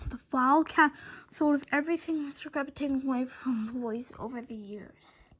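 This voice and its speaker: A woman reading a children's story aloud in an expressive way, now and then stumbling over words. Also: high voice, fairly quick, slightly rough. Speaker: read-aloud narrator.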